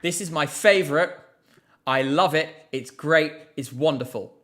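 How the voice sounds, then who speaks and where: A man's voice: a little high, a little slow, fairly bright tone. A man hosting a casual live stream, chatting in a rambling way with lots of fillers and a slight cough. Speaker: livestream host.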